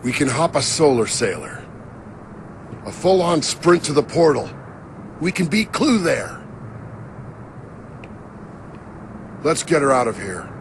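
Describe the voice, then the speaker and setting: A man playing a weary, regretful middle-aged creator of a digital world in a game cutscene, speaking gravely and haltingly. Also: fairly high pitch, sing-song, a little hiss or hum. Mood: resolute. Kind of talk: dramatic game cutscene acting.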